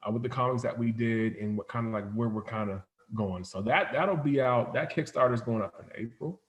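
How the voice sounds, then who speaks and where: An echoey recording of a man speaking as a guest in a casual interview. His voice sits at an ordinary middle pitch. He tells things loosely and informally, wandering through his account. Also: brisk. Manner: informal, rambling storytelling with frequent "like". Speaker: interview guest, comics company founder.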